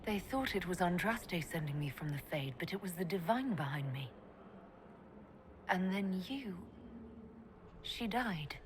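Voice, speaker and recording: An actress playing a serious elf mage hero in a video game, speaking in an earnest, halting way. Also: female voice, on the low side, lively intonation, background noise. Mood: dawning realization.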